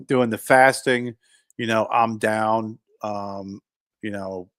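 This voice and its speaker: A man's voice: lowish voice, steady tone, slow pace, fairly bright tone, no room sound. A man in his fifties co-hosting a podcast, talking in a reflective, rambling way with many restarts. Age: 50s. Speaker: podcast co-host. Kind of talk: casual chat.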